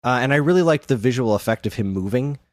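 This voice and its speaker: A man's voice: medium pitch, lively intonation, quick pace, a little mellow, no echo. A man co-hosting a podcast in casual conversation, animatedly retelling things and acting out the odd quote. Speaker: podcast co-host. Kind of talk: casual chat about films.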